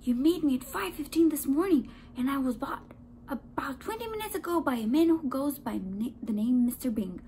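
A woman voicing an excitable, indignant little male donut in a children's storybook, with dramatic exclamations. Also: high-pitched, moderate pace, a little hiss or hum, pitched above the performer's own voice. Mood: frantic, urgent. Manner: dramatic, exclaiming, sometimes frantically whispering.

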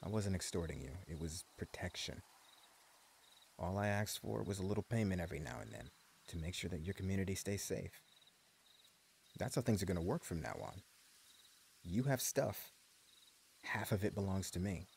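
A man's voice: sits low, normal speed, creaky, long pauses, darkish tone, a little hiss or hum. A man voicing a smug, cruel gang leader. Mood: menacing. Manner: taunting, mock-friendly drawl. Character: menacing male post-apocalypse gang leader, smug and cruel. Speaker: voice actor. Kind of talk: ASMR audio roleplay.

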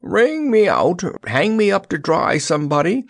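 A man's voice: medium pitch, lively intonation, measured pace, dry recording, studio quality, pitched above the performer's own voice, lightly acted. A man voicing a teasing, boastful straw scarecrow in a children's storybook, with a jaunty, mocking manner. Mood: dismal.

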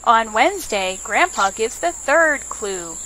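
A woman's voice: fairly high pitch, animated, slow pace, sharp tone. A woman narrating a children's storytime, putting simple questions and instructions to young listeners. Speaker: children's librarian reading stories.

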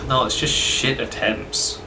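A man's voice: mid-range voice, level tone, takes it slowly, bright, forceful tone. A man chatting bluntly and casually while playing a video game, swearing freely. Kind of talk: casual gameplay chat. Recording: distant mic with clear background noise.

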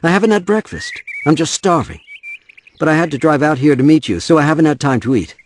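A man's voice: fairly high pitch, expressive, fairly quick, a little hiss or hum, lightly acted. A man playing a harried, defensive divorced father in an audio drama, weary and impatient. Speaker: voice actor.

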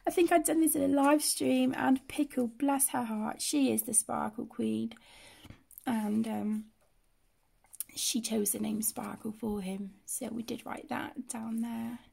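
Croaky lady with the flu, slow and raspy, kinda high voice, going through her colouring books. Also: steady tone, darkish tone. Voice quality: hoarse, often drops to a whisper. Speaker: adult colouring channel host. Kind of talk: casual flip-through commentary. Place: indoors at a table.

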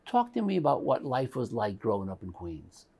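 A man's voice: medium pitch, wildly swooping pitch, moderate pace, a little mellow, slightly rough. A man interviewing a guest, asking short questions and offering brief encouraging replies.

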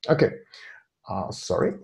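A man, a data scientist walking an online meeting through a dashboard on a shared screen, with many fillers and restarts. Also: a little slow. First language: Serbian. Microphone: video call.

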